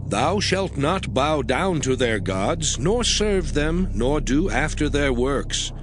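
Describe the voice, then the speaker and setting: An actor playing a stern, authoritative lawgiver who declaims commands deliberately in a dramatized scripture reading. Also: male voice, mid-range voice, sing-song, noisy background. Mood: stern, emphatic. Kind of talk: dramatized audio Bible reading. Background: music.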